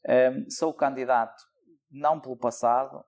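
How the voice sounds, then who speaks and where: A man's voice: medium pitch, fairly even intonation, moderate pace, gravelly, darkish tone. A young man with a European Portuguese accent, a local election candidate answering interview questions in a thoughtful, hesitant way with many fillers. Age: young adult.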